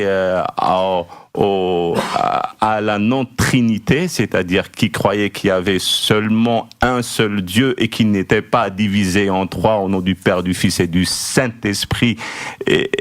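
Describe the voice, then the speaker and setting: Low-voiced guy on a radio talk show, slow, lots of pauses, spells things out. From Morocco. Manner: slow, deliberate explaining with hesitations. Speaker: radio host. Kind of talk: radio discussion.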